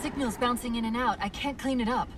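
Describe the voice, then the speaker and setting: A woman's voice: on the high side, fast, background noise, close to an everyday voice. An actress playing an alert female AI companion in a video game, giving urgent, clipped tactical reports. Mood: focused, alert. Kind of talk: cinematic video game acting. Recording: distant, loud music behind.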